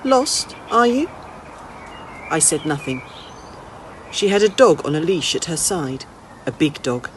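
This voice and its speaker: A woman reading a children's novel aloud as an expressive narrator. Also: sits low, normal speed, long pauses, a little hiss or hum. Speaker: storybook reader, school staff.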